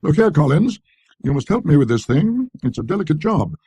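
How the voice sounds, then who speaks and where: A teasing, self-important gentleman collector played by a male narrator speaks in clipped, bossy phrases. His voice is pitched up and lively, with a husky edge, as in an audiobook ghost tale. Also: brisk, no room sound, heavily acted. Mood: fussy, anxious.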